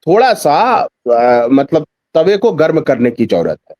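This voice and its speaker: A man with a high, lively voice that rises and falls widely, recorded dry and close. He speaks as a political pundit on a news discussion, driving points home with emphatic repetition. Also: unhurried, no room sound, lo-fi sound. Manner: emphatic, repeats phrases for rhetorical effect. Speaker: political commentator, interview guest.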